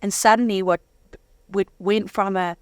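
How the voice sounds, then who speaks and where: A woman's voice: medium pitch, steady tone, moderate pace, dry recording, studio quality. A woman telling her personal testimony as an interview guest, with dramatic, candid storytelling and slangy humour.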